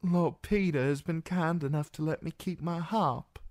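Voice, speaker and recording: A man voicing a broken, blinded young singer in an audiobook, pleading mournfully in a cracked, halting whisper of a voice. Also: a little high, a little mellow, voice pushed up, lightly acted. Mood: meek, halting.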